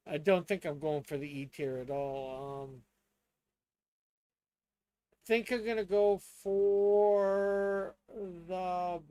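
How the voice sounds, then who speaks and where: A man's voice: fairly high pitch, darkish tone, clean tone, no room sound. A man playing a tabletop game, chatting casually and thinking aloud in a hesitant, tentative way.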